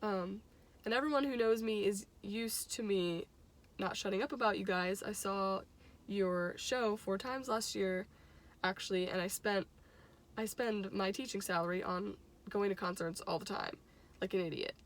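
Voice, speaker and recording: A woman's voice: mid-range voice, moderate pace. Chatty young woman telling an anecdote. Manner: animated, giggly, quotes dialogue as she goes. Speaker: teacher and music fan telling a personal anecdote. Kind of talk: casual chatty storytelling.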